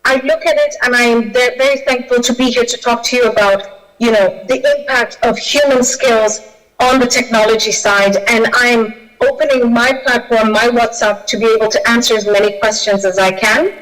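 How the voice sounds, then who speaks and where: A woman's voice: a little high, steady tone, normal speed, a bit bright, rough recording. A woman from Kenya, a guest speaker on a video call, answering audience questions with many fillers and restarts. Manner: hesitant, with frequent fillers and restarts.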